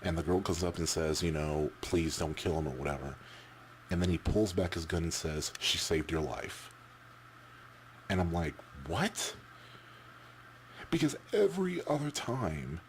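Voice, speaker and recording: A man giving casual, off-the-cuff opinions as a vlogger, halting and repeating words as he rambles. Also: sits low, a little hiss or hum.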